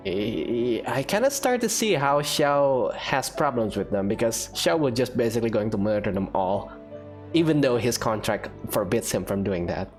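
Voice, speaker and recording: A man's voice: mid-range voice, animated, normal speed. A man giving casual commentary as he plays a video game. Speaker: gaming video host. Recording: faint game music behind the voice. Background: game music.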